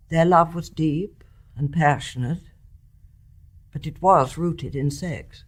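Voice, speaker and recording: An older woman with an English accent playing a wise, composed, forgiving mother-in-law in a radio drama, measured and frank. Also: deep voice, heavily acted. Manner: measured, articulate, gently frank. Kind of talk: stage-play radio drama acting.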